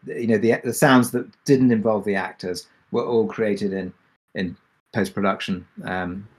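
Guy with a lowish voice, show creator in a q&a, kinda halting, working it out as he talks. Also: rough recording. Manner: halting, thinking aloud. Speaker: podcast drama creator and producer. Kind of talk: informal panel Q&A answer.

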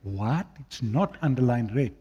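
A man from South Africa with an Afrikaans accent preaching a sermon, swinging between loud declaiming and quiet, low asides. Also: expressive, a little slow, husky, dull audio.

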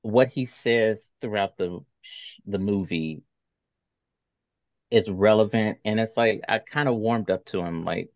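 Low-voiced host on a dull, dry mic. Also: male voice, no room sound. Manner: friendly, relaxed, quick to agree. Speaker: podcast host. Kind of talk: casual interview.

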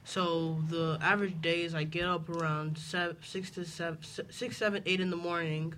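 A twelve-year-old boy answering interview questions casually, haltingly and earnestly rephrasing as he goes. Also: on the high side, level tone, a bit bright. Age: twelve years old. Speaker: homeschooled student guest.

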